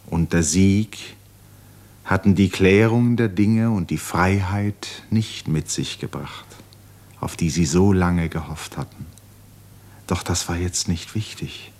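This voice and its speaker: Deep, slow male literary narrator. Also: breathy edge. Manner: contemplative, measured, phrases falling to a hush. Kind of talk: scripted literary narration for a radio play.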